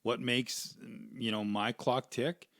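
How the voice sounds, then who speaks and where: A man's voice: mid-range voice, fairly even intonation, slow pace, a bit bright, no room sound, studio quality. A former pro hockey player, a man, talking earnestly and reflectively as a podcast guest, often checking in with "right?". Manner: reflective, earnest, peppers talk with "right?" and "you know". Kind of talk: casual interview chat.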